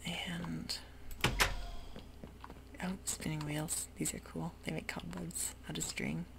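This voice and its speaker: A woman whispering softly through a relaxed, rambling ASMR game commentary. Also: low-pitched, slow, vocal fry, very noisy. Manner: soft whisper, rambling and easygoing. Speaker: YouTuber playing a game. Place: home room with sprinklers outside.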